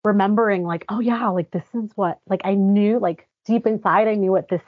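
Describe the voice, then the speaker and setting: A woman's voice: medium pitch, steady tone, fairly quick, no room sound. A young woman from Guatemala, raised in the United States, speaking earnestly and chattily as a podcast guest. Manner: earnest, chatty, many fillers. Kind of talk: conversational interview. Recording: dry, slightly muffled audio.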